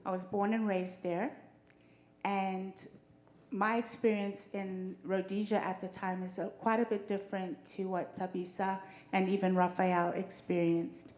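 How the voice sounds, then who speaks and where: A woman's voice: medium pitch, little pitch movement, measured pace. A woman from Zimbabwe hosting a church seminar, introducing board members and laying out the agenda in an organized way. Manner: warm, organized, introduces speakers and lays out the agenda. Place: church hall.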